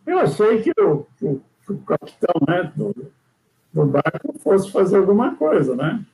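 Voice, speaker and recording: Gravelly high-voiced older man rambling. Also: very animated, normal speed, darkish tone, low-quality recording. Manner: rambling, frequent "né?" tags and restarts. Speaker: guest sailor. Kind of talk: casual storytelling chat.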